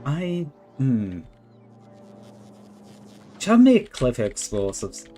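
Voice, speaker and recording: A hoarse man drawls through relaxed live game commentary, his voice worn from hours of talking. He thinks aloud at an unhurried pace and chats with viewers, with faint music playing behind him. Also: mid-range voice. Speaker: live streamer. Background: faint background music.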